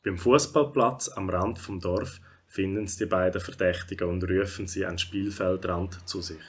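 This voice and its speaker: An actor narrating a children's animal story as a calm storyteller, with a Swiss German accent. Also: male voice, low-pitched, normal speed, a little hiss or hum, lightly acted. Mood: storytelling.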